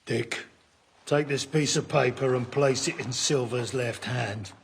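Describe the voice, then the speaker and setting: A man as a surly, creaky-voiced rebel pirate. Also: mid-range voice, measured pace, slight background noise, close to an everyday voice. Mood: grave. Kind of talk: audio drama acting.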